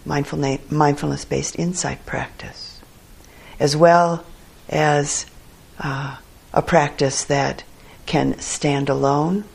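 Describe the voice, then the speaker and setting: A woman meditation teacher leads a guided sit, speaking in gentle, unhurried phrases separated by long pauses. Her pace is very slow, and her voice has a gravelly, breathy husk. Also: low-pitched. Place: meditation hall.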